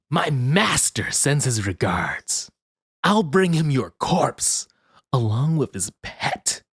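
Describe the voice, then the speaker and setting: Slow, raspy, sinister vampire minion. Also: male voice, medium pitch, very animated, a bit bright, no echo, clean studio sound, heavily acted. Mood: taunting glee. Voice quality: raspy, breathy. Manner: slow, creepy, drawn-out, savoring words. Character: sinister, gleeful vampire minion, servile to his master. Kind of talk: ASMR roleplay acting.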